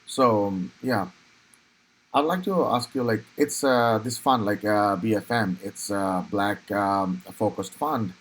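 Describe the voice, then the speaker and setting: A man's voice: fairly low pitch, takes it slowly, low-quality recording. A young man from Bangladesh hosting a casual interview over a video call, talking with many fillers and restarts. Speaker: podcast host.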